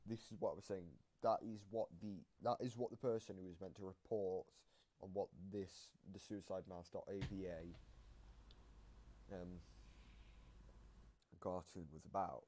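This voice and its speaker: Deep-voiced man giving casual reaction commentary. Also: steady tone, warm tone. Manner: explanatory, halting, repeats himself. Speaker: reactor explaining game lore.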